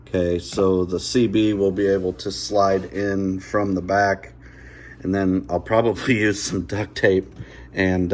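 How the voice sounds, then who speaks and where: A man's voice: low-pitched, a little mellow. A middle-aged man casually talking through a hands-on DIY job, informal and folksy, thinking aloud as he goes. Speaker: host of a DIY install video. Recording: noticeable background noise.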